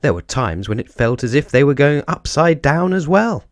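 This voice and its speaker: A man narrating a children's storybook as an expressive storyteller. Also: a little high, normal speed, no room sound, clean studio sound.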